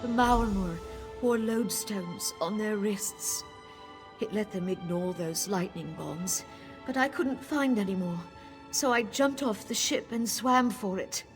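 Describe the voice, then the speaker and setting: A woman's voice: medium pitch, a little slow, lightly acted. An actress playing a frightened, urgent female sailor who escaped captivity in a fantasy video game. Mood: haunted, recounting. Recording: game music behind the voice.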